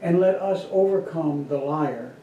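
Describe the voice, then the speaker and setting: A man reading aloud formally at a church service with measured, deliberate phrasing. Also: fairly high pitch. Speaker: worship service reader. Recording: dull, roomy, distant, noisy church recording.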